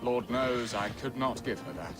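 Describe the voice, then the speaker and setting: A man's voice: medium pitch, normal speed, background noise, natural voice. An actor playing a jovial, romantic, middle-aged medieval nobleman in a video game, speaking in flowery, archaic phrases. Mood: wistful, confiding. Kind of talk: video game cutscene acting.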